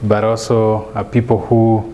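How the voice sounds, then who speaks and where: Kenyan man telling a measured story. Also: lowish voice, monotone, warm tone, dull audio, slight background noise. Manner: measured, with many fillers and restarts. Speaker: guest, author and business speaker. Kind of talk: storytelling interview answer. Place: studio set, seated in an armchair. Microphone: lapel mic.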